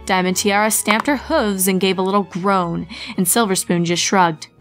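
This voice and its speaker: Female storybook narrator, mid-range pitch, scripted prose read over a music bed. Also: normal speed. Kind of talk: scripted fan fiction story reading. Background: background music.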